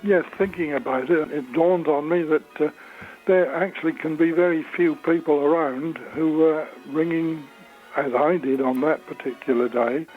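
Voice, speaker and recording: A man in his eighties with an English accent, recounting memories in an interview in a hesitant, measured, understated way. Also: a little high, fairly even intonation, narrow, tinny audio, soft background music. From Suffolk, England. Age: 80s. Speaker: interviewee, church bell ringer.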